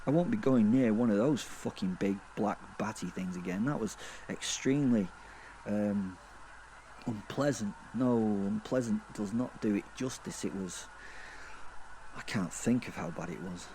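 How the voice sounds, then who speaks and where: A man's voice: lowish voice, warm tone, slight background noise, poor audio. Morbid, rambling werewolf antihero played by a man. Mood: grim disgust, then wry understatement. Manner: rambling, conversational, sweary, halting and self-correcting. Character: gleefully morbid male werewolf killer narrating his fall through hell. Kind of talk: first-person horror audio drama monologue.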